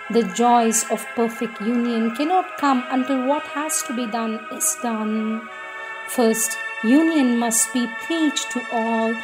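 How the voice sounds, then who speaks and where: Music plays clearly behind a woman reading devotional prayers. Her voice sits a little high, and she goes slowly, with a solemn and reverent delivery that gives each phrase a measured shape. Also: low-quality recording. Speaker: reader.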